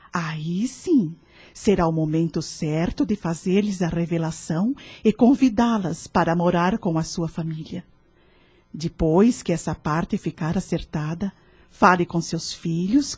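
An actress playing a kindly, devout counselor woman in a radio drama, speaking Brazilian Portuguese with a measured, gently instructive manner. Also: fairly low pitch, slightly rough.

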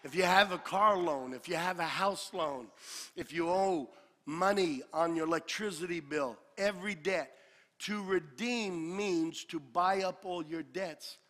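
A male pastor preaching a sermon, building to shouted peaks and dropping into joking asides. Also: high-pitched, a little slow. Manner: builds to shouted climaxes, then drops to a jokey aside. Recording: long hall reverb.

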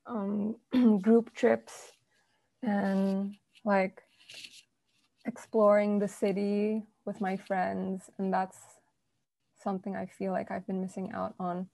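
A young woman, a university student, chatting casually with friends in a rambling way full of fillers, her voice croaky from the early morning. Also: medium pitch, flat delivery, slow pace, dark tone, dry recording. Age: young adult. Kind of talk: casual group chat.

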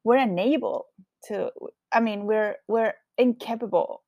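A woman's voice: fairly high pitch, unhurried, darkish tone, dry recording, dull audio. A woman from Colombia with a strong Colombian Spanish accent, hosting a solo podcast in a reflective, candid way. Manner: reflective, candid, with frequent fillers. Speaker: podcast host.

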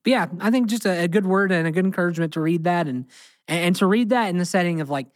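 A man's voice: sits high, fast talker. An American man co-hosting a casual Bible discussion, speaking earnestly and emphatically, often repeating phrases for weight. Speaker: podcast co-host and Bible teacher.

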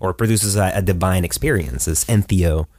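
Congested low-voiced male podcast host. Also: moderate pace, darkish tone, husky. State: congested from a cold. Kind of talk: casual podcast chat.

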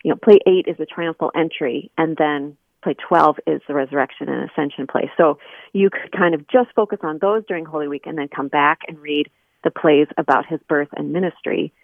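A woman's voice: low-pitched, brisk, gravelly, dry recording. Woman guest on a thin phone line. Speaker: interview guest, editor and scholar. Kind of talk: conversational radio interview.

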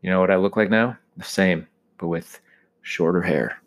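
American male, thirties, low-pitched voice, loose conversational solo monologue with joking exaggeration. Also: moderate pace. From United States. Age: 30s. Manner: joking, self-deprecating exaggeration. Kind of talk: casual rambling solo monologue.

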